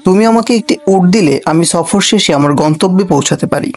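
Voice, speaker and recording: An earnest royal guard posing as a beggar. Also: male voice, high voice, fairly quick, darkish tone. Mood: pleading. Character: royal guard disguised as a poor traveller, solemn pleader. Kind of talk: Bengali cartoon character acting.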